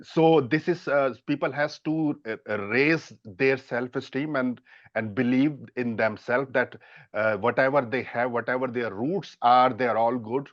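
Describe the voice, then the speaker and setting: A man on a dating coach panel, speaking haltingly with many fillers and restarts. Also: medium pitch, dry recording, highs cut off. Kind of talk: casual panel discussion.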